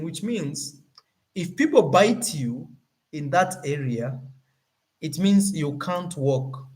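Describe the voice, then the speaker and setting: Kenyan man, young adult, casual vlog talk, fairly high voice, animated and repetitive. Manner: animated, searching for words, repeats himself. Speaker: YouTuber.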